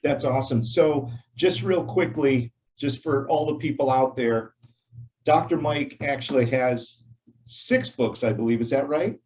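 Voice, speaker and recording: A male interview host asking questions in a friendly, halting way with many fillers. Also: medium pitch, darkish tone, highs cut off.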